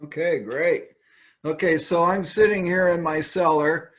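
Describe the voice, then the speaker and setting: A man's voice: on the high side, steady tone, a little slow. An older man from California, a winemaker talking casually over a video call from his home wine cellar, rambling with many ums and uhs.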